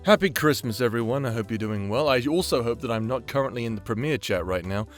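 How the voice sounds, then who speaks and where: A man's voice: mid-range voice, fast talker, soft background music. A man hosting a channel with casual, sweary, rambling commentary in an informal, chatty way. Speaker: creator and host of a fan-facing channel.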